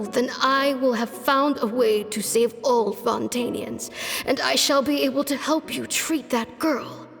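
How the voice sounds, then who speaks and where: A sick old doctor in a video game, proud and stern, sighing through slow, heavy words in a fairly high voice. Her voice rings with a long echo over soft game music. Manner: weary, sighing, slow and grave. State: sick and worn out.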